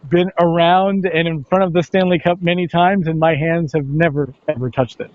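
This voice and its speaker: A man who works as a play-by-play announcer answers questions in a conversational sports interview, with halting phrasing and repeated words. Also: fairly high pitch, steady tone. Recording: dull, lo-fi, band-limited sound.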